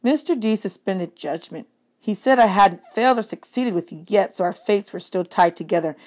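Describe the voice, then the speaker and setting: A woman's voice: mid-range voice, expressive, moderate pace, darkish tone, close to an everyday voice. A woman voicing a nervous, glum young male satyr sidekick in a children's audiobook, explaining things anxiously. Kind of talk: children's fantasy audiobook character.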